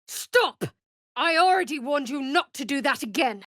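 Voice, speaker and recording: A woman playing a guarded, sardonic young cleric of a dark goddess in a video game, speaking in a dry, wry manner. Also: high-pitched, lively intonation, moderate pace, no echo. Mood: stern, forceful. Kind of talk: video game character voice lines. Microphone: close studio microphone.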